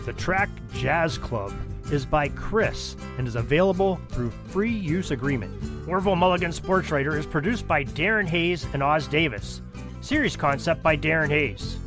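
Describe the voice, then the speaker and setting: A male announcer narrates scripted show credits over clear guitar music. His voice is on the high side and very animated in pitch, in old-style radio sign-off form. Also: normal speed. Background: prominent guitar music.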